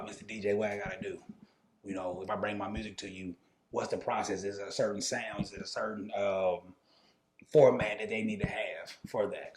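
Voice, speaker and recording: A man interviewing someone in a casual, informal and agreeable way. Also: lowish voice. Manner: informal, agreeable, frequent affirmations. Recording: roomy echo on the voice.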